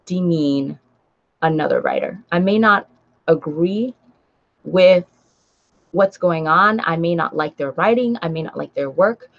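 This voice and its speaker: A woman's voice: lowish voice, animated, measured pace, pauses often, muffled recording. A woman with an American accent chatting casually on a livestream, speaking emphatically and repeating phrases for stress. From Nigeria until age seven, then raised in the United States. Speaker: book vlogger and writer hosting a livestream. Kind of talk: casual livestream chat, responding to comments.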